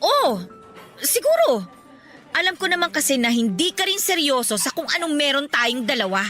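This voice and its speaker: A woman's voice: sits high, big pitch swings, normal speed, pushed and bright, faint music behind, full character voice. A woman playing a fed-up, jealous young girlfriend in a Tagalog radio drama, speaking in an accusing, sarcastic, emphatic way. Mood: weary, resolute. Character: fed-up, jealous young woman confronting her secret boyfriend.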